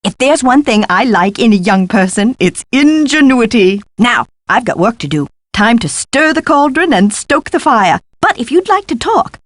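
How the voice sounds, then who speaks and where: A woman's voice: mid-range voice, dry recording, lightly acted. An actress playing an eccentric, fussy woman scholar in an adventure game, with gushing, pompous, theatrical delivery and precise diction. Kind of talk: scripted video game dialogue.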